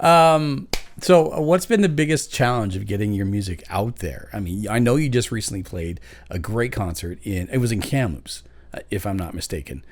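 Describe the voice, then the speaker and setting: A man hosts a conversational interview. His voice sits fairly low, yet it moves with very lively intonation as he frames questions and comments. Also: normal speed. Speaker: podcast host, interviewer.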